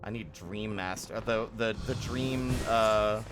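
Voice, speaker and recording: A man's voice: on the low side, takes it slowly, loud music behind the voice. A man giving live gameplay commentary as a streamer, sweary and given to exasperated exclamations. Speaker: game streamer. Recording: background noise under the voice.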